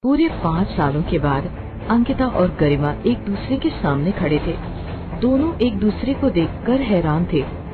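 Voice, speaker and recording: A woman narrating a cartoon moral story in an expressive storytelling way. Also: lowish voice, measured pace. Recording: tinny phone-like sound.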